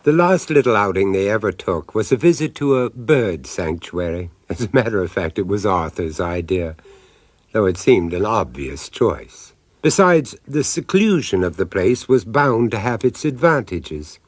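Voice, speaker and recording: A man's voice: lowish voice, very animated, normal speed, no room sound. A man giving a scripted, wry narration for a radio drama.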